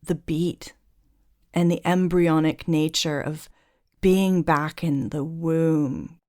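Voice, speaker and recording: Smooth, low-voiced woman host, earnest. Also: fairly even intonation, takes it slowly, a little mellow. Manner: earnest, thoughtful, building points in long runs. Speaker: podcast host. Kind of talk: reflective conversational interview.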